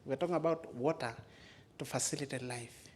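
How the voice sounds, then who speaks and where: A man with an East African accent and Maa as his first language gives a storytelling speech as a community speaker, in short, deliberate, emphatic phrases. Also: mid-range voice, a little mellow. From Maasai areas of Kenya and Tanzania.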